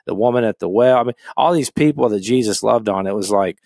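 Earnest, lower-pitched man, dry sound. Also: fast talker, vocal fry, darkish tone, no room sound. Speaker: podcast co-host. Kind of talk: casual theological discussion. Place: small home office with bookshelves. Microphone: close studio microphone, headset monitoring.

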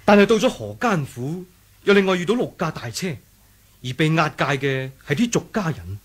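A man's voice: on the high side, big pitch swings, moderate pace, slightly rough. A man with a Hong Kong Cantonese accent playing a loyal, watchful rebel fighter who reports earnestly in a radio drama. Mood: excited, reporting. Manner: earnest, vivid storytelling. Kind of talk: 1980s radio drama acting.

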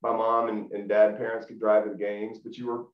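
A man, a guest telling his story in a relaxed way with many restarts over a video call. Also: fairly low pitch, fairly quick. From Middle Tennessee. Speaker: guest, former college football player. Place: small room at home, indoors. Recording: roomy, dull video call audio.

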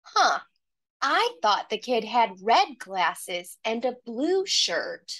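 A woman voicing a lively, playful young girl in a children's storybook read-aloud, given to exclamations. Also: fairly high pitch, takes it slowly, exaggerated voice. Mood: surprised.

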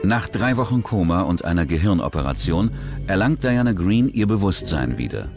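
A man narrates a true-crime documentary in a low voice. His pitch moves around in a lively way as he reads the script. Prominent music plays underneath. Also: moderate pace.

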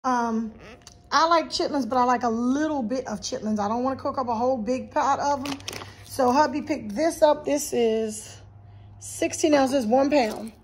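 Chatty lady showing off her groceries in the kitchen, high airy voice, lots of um and you guys. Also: normal speed, breathy edge. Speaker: grocery haul vlogger. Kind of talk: casual chat to camera. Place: home kitchen.

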